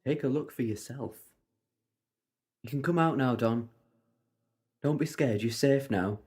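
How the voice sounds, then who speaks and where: A man's voice: mid-range voice, measured pace, darkish tone, breathy edge. A man playing a kindly, assured time-travelling adventurer in an audio drama, reassuring and breezily understated. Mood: gentle, reassuring.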